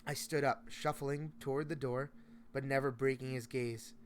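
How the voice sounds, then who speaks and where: A man reading a first-person horror story aloud as host, in a solemn, measured, dramatic storytelling style. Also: medium pitch, steady tone. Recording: noticeable faint background noise.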